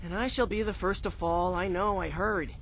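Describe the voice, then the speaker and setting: A man's voice: high-pitched, fairly even intonation, normal speed, creaky, fairly bright tone, a little hiss or hum. Cocky teenage boy hero. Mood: sarcastic. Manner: sarcastic, self-assured. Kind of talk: cartoon game voice acting.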